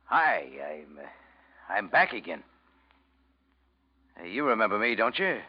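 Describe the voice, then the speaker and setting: An actor playing a shady stranger in a 1940s radio noir, halting and casual with a faint menace. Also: male voice, lowish voice, big pitch swings, background noise. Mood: falsely casual. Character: scarred, shady stranger feigning a stalled car. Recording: muffled old radio broadcast.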